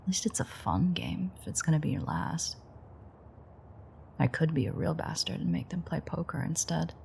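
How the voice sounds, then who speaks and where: A woman's voice: low voice, big pitch swings, normal speed, darkish tone, heavily acted. A woman playing a playful, flirtatiously menacing ancient vampire in an intimate roleplay audio drama, murmuring wryly and often close to a whisper. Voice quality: breathy, husky, often near-whisper. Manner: teasing, wry, murmured.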